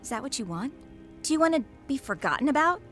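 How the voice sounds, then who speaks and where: A highish female voice over light music, a nurse in a radio drama. She is firm and blunt, confronting and insistent by temper. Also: very animated, fast, a bit bright. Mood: stern, insistent.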